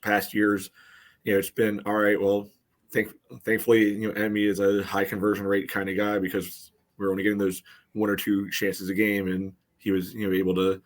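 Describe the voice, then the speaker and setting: Low, even-toned male sports commentator. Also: normal speed. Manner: peppers talk with "you know". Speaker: soccer podcast co-host. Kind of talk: casual match analysis.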